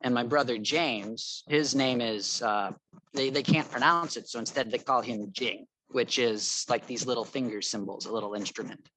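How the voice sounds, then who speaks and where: Medium-pitched man, rambling casual explainer. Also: normal speed, bright, forceful tone, no echo, rough recording. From Thailand. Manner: rambling, tentative, hedged explanations. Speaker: chat participant. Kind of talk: casual chat, explaining ideas.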